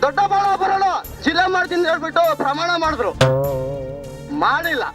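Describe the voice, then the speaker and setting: Over clearly audible music, a man with a very high voice speaks off the cuff as an aggrieved local. He has a southern Karnataka Kannada accent and an insistent, repetitive way of talking. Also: fairly quick. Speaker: local resident voicing grievances. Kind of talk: spontaneous street opinion.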